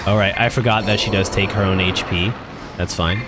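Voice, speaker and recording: A man giving casual gaming commentary, thinking aloud haltingly and repeating words as he goes. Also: deep voice, steady tone, very noisy. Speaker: streamer. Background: game music.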